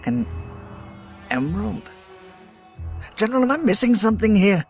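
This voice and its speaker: A man playing a polite, hesitant scientist who speaks haltingly and deferentially in an amateur audio drama. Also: sits high, lively intonation, gravelly, faint music behind. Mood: hesitant, puzzled. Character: hesitant, polite male scientist, software engineer.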